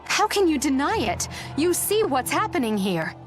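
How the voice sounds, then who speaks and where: A woman's voice: high-pitched, big pitch swings, moderate pace. An actress playing a proud, disillusioned noblewoman in an English video game. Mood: bitter. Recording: background music under the voice.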